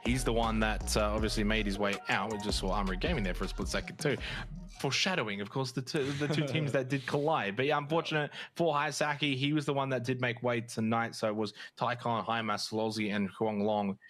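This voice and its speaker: A man's voice: medium pitch, fairly even intonation, fairly quick, a bit bright. A man giving conversational, explanatory post-match analysis as an esports commentator. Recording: music behind the voice. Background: background music.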